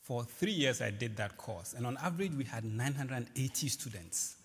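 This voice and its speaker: A man's voice: medium pitch, lively intonation, normal speed, husky. A man who lectures in sociology, introducing himself on a panel with wry humour. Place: large lecture hall stage. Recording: reverberant large hall.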